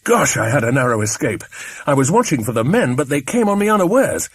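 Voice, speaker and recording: A man puts on a brisk, commanding English adventurer from a storybook. He is hearty and lively, his pitch swinging upward, with a slightly husky edge. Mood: relieved, breezy. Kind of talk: audiobook character voice.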